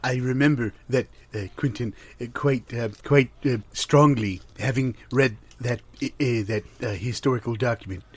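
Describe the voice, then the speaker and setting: A man's voice: medium pitch, moderate pace, hardly any pauses, slightly rough, dry recording, close to an everyday voice. A man in improvised comedy playing a bumbling panel member, hesitant and stammering as he talks. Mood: hesitant, solemn.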